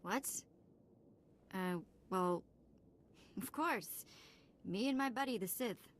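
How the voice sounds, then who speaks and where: A woman's voice: medium pitch, slow, close to an everyday voice. A woman playing a cheeky young female sidekick in a video game, casual and playfully sarcastic. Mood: playful, relieved. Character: wry, cheeky young female companion, freed slave. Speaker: voice actor in a game. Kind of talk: cinematic video game acting.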